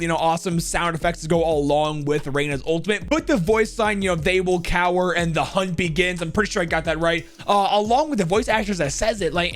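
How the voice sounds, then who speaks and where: A man giving casual, opinionated tier-list commentary as a video host in a conversational way. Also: sits high.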